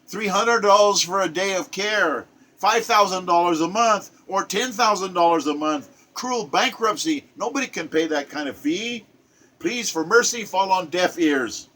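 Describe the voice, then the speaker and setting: Impassioned man in his seventies, bright-voiced. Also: fairly high pitch. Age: 70s. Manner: impassioned, emphatic, repeats phrases for stress. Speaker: poetry reader. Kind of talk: impassioned spoken commentary and poetry reading.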